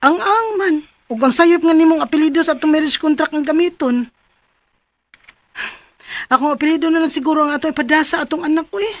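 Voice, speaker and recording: Actress as troubled young Cebuano Filipino wife, high-voiced. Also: female voice, fairly quick, long pauses, a little hiss or hum, natural voice. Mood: worried, insistent. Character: troubled pregnant young wife seeking advice. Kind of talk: radio drama acting and letter narration.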